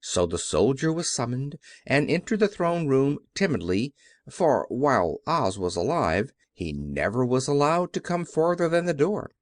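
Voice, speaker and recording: A man's voice: medium pitch, big pitch swings, normal speed, no echo, studio quality. A man narrating a children's story from a script, as in an audiobook.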